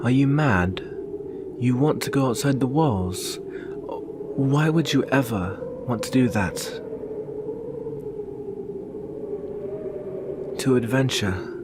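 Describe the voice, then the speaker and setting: A man playing a brooding, protective young northern warrior and devoted lover in an earnest, solemn ASMR roleplay with measured pauses. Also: medium pitch, expressive. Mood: urgent, pleading. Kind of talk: ASMR roleplay, intimate slow dramatic monologue. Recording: very noisy ambient background.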